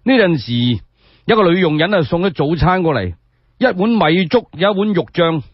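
A man telling a scripted story in Cantonese radio style, expressive and measured, with rhetorical asides, on a dull recording. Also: sits high, breathy edge, dry recording. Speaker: solo storyteller.

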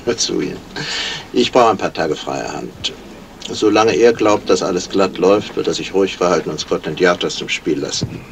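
Urbane, sly gentleman sleuth, noisy recording. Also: low-pitched, moderate pace, gravelly. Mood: wry, calm. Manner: polite, suave, wry. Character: urbane, courteous amateur detective and writer, sly. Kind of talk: 1970s German radio crime drama acting. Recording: noisy 1970s radio play recording.

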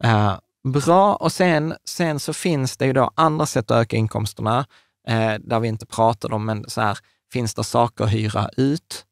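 Thirtysomething guy cohosting a podcast, eager, keeps restarting, bit of fry, dry studio mic. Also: medium pitch, dry recording. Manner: enthusiastic, restarts and fillers, gestures while talking. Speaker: podcast co-host. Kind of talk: casual explanatory chat. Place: small studio room with curtains and plants. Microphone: large studio mic on a table stand.

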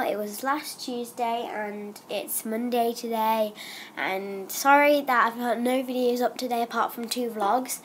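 A woman's voice: fairly high pitch, slight background noise. A young girl vlogging at home, chatting in a rambling way with lots of ums while she has a cold. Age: child. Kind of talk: casual chatty vlog.

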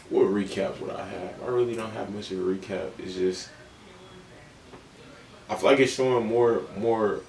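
A man in his twenties hosting a reaction video, recapping in a relaxed, meandering way with many fillers, recorded in a small indoor room with a webcam. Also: low voice, creaky, reverberant, distant mic, poor audio. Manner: relaxed, meandering, lots of fillers and "you know". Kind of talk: casual rambling recap.

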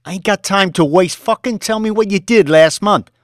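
A man voicing a volatile, bullying young finance boss who shouts and swears at his staff. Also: on the high side, sing-song, normal speed, no echo, studio quality, voice pushed up. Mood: raging. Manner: shouting, swearing, aggressive New York street talk.

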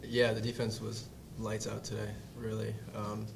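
Young man, college player, press conference, monotone, lowish pitch, table mic. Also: moderate pace, creaky, noisy background. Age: young adult. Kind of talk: postgame press conference answers. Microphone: table mic on stand.

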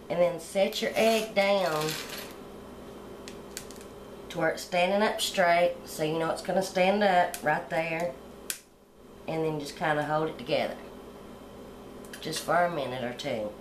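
A middle-aged woman hosting a cooking channel, explaining step by step how to decorate a sugar egg at home in a relaxed, homey way. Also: on the low side, echoey room, a little hiss or hum. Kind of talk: hands-on decorating tutorial.

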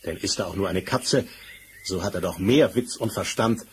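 A man's voice: low voice, moderate pace, natural voice. An actor playing a grand, genial king in a fairy-tale audio drama, declaiming with admiration. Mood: solemn, gracious. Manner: stately, declamatory. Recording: noisy old vinyl transfer.

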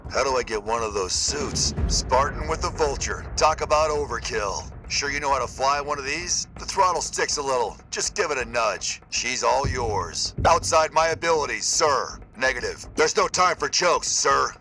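An actor playing a brisk, confident military gunship pilot in a video game, speaking in clipped radio callouts. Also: male voice, medium pitch, bright, forceful tone, low-quality recording. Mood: cocky, easygoing, then matter-of-fact, dutiful. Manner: clipped, military, addressing superiors as sir.

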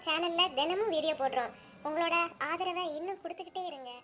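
A woman channel host giving a scripted outro, her quiet voice sitting under music. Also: extremely high pitch, quick pace. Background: loud music.

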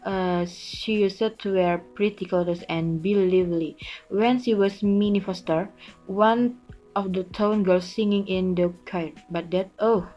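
A young woman playing a wistful, sympathetic farm wife in an amateur stage drama, with halting delivery. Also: mid-range voice, steady tone, mellow, close to an everyday voice. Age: young adult. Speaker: student actor in a class play. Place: small curtained room at home. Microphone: phone or laptop at arm's length. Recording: muffled narrow-band home recording, music behind.

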